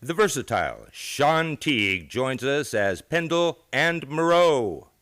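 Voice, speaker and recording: Theatrical radio announcer man, lively swooping delivery. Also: mid-range voice, takes it slowly, some chatter behind, a little hiss or hum. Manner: grandiose, theatrical showmanship. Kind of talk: old-time radio scripted announcing. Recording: live theater show with music.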